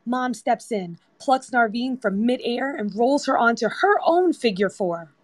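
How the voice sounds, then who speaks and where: A woman's voice: a little high, expressive, a little slow, no room sound. Woman narrating a screenplay, dry sound. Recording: online group voice-chat app.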